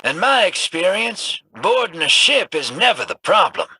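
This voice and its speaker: A cocky rogue ally tosses off teasing remarks. His voice is sharp and bright, pitched high with lively swings. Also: moderate pace, husky, heavily acted, poor audio. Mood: wry. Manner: wry, teasing, offhand. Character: cocky male pirate-minded ally, wry. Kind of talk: video game voice acting.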